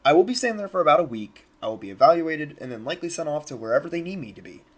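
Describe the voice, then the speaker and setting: A man acting as an eager American soldier of twenty, reading his journal aloud in an audio drama. He talks quickly in a slightly rough, husky voice, earnest and plain-spoken. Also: on the high side, lively intonation. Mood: earnest, resolved. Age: young adult. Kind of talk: scripted first-person journal narration.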